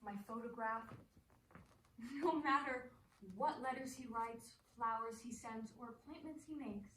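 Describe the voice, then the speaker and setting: A wry, self-assured stage actress , played by a woman with a somewhat high voice, teasing and poised. Her lines carry a roomy echo and sound caught from some distance off, with a faint hiss behind. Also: unhurried. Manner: poised, wry, gently mocking. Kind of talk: stage play acting.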